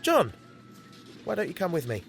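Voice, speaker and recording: A man's voice: high voice, huge pitch swings, moderate pace, vocal fry, soft background music, heavily acted. An actor playing a cheerful, kindly male council funeral officer in an audio drama, friendly and slightly hesitant. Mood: earnest, businesslike. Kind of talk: naturalistic audio drama acting.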